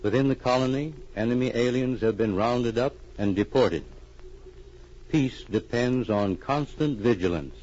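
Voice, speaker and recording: A male radio announcer with a medium-pitched voice reads in a formal, solemn vintage style. The recording sounds dull and old. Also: unhurried, a little mellow. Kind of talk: formal 1940s radio scripted narration.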